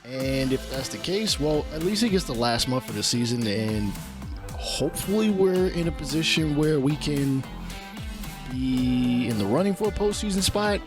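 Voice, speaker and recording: A man's voice: mid-range voice, animated, moderate pace. A man with a cold-stuffed nasal voice, casually commentating a baseball video game from a home studio mic. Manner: laid-back, chatty play-by-play with asides. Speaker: gaming channel host. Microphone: studio mic on a boom arm. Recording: game music underneath.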